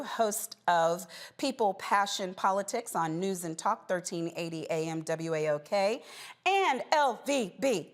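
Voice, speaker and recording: A woman hosting a live panel talk show, interviewing in a conversational, probing way. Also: medium pitch. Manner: conversational, probing, with follow-up questions.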